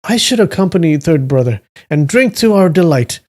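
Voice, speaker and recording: A polite young man emboldened by wine, as a male reader plays him. He declaims earnestly in a raised, high-pitched voice, in the manner of a storybook character. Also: expressive, normal speed, darkish tone, natural voice. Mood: eager. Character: tipsy, bold, polite young man pledging brotherhood. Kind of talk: audiobook character voice.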